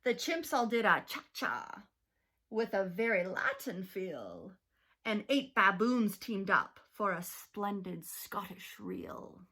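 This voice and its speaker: A woman teacher reading a rhyming picture book aloud to children, slow and expressive. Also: medium pitch, slightly rough.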